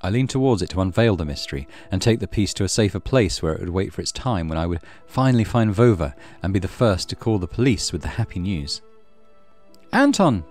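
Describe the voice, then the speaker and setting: A man reading a visual novel aloud as a let's play host, with expressive storytelling and casual commentary. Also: low voice, fast, few pauses. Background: soft game music.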